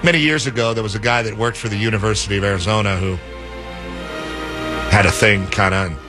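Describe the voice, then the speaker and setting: A man's voice: lowish voice, fairly even intonation, music in the background. A man hosting sports radio, bantering with his co-host in a teasing, joking way. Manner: teasing, quick comic jabs.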